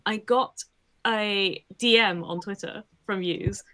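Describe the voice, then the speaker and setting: A woman's voice: medium pitch, slow, a bit bright. A woman voice actor chatting in a casual Q&A over a video call, laughing often and poking fun at herself. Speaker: voice actor, guest. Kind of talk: casual livestream Q&A chat.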